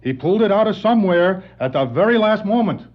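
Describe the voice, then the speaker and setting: An actor playing an agitated, earnest police officer with a husky voice in a 1960s children's record drama. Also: male voice, high-pitched, animated, fast talker. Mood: urgent. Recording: muffled 1960s vinyl record.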